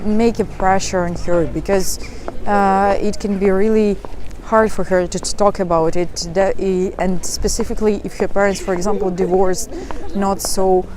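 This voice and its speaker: Young woman, live advice talk, halting with fillers, mid-pitched voice. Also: steady tone, noisy background. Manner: hesitant, many fillers and restarts. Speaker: dating advice presenter. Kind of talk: live talk answering audience questions.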